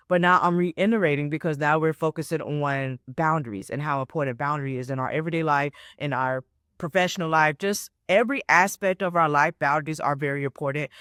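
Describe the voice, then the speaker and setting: A woman's voice: sits low, a bit bright, no room sound. Woman in her twenties, podcast coach, casual and encouraging, repeats key points, dry close sound. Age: 20s. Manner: casual and conversational, with frequent "right?" and "okay?" check-ins; repeats herself to stress points. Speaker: life coach and podcast host. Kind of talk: solo motivational podcast talk.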